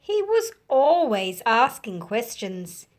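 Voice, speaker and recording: An Australian woman hosting a children's read-aloud, telling stories and explaining them in simple, measured phrases with questions to her listeners. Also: fairly high pitch, sing-song.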